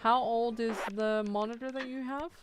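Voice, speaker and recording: A woman streamer chatting casually with her viewers while playing a game, chatty and animated. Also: a little high, normal speed, background noise. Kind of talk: casual gaming livestream chat.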